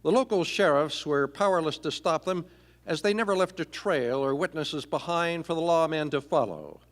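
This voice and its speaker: A man's voice: fairly high pitch, animated, moderate pace. A man narrating a Western radio play in a dramatic old-time announcer style, grandiose, stretching out key phrases. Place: performing arts center stage, live. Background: orchestral music accompaniment.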